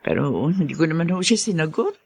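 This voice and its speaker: A woman's voice: low-pitched, sing-song, brisk, creaky, a little mellow, slightly rough, heavily acted. A woman playing a hardworking, firm and devoted middle-aged mother in a radio drama.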